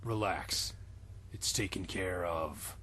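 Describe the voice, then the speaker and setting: A man playing a gruff, laconic older wizard mentor in a comic fanfiction reading, speaking slowly and plainly. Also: low voice, sharp tone, pitched below the performer's own voice, heavily acted. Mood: laconic, calm.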